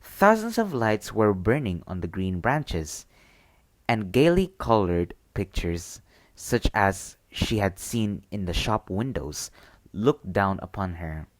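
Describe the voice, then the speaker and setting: A man reading a bedtime story as ASMR, with a gentle, deliberate storybook delivery. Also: fairly low pitch, sing-song, no echo, rough recording.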